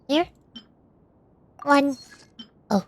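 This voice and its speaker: Very high, playful cutesy woman streamer. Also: expressive, extremely slow. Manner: playful, cutesy little sound words. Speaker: VTuber game streamer. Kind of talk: livestream gameplay chat with voiced-aloud dialogue.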